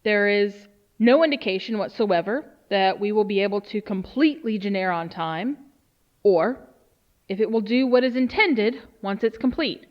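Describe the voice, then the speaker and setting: A woman playing a grave research official, delivering a solemn, measured dramatic briefing. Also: medium pitch, dull audio, natural voice. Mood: grave, resolute. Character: grave female research official briefing on a doomsday alien threat. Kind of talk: dramatic scripted monologue.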